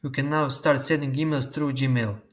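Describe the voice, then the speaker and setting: Man explaining software steps. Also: mid-range voice, fairly even intonation. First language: Croatian. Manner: slow, step-by-step instructions. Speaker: tutorial host. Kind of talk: screen-recorded software tutorial.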